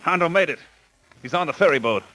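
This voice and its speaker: An actor playing an alert police sidekick giving clipped, urgent reports in an old radio crime drama. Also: male voice, on the high side, animated, fast, pushed and bright, poor audio. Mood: urgent, excited. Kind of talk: 1940s-50s radio crime drama acting.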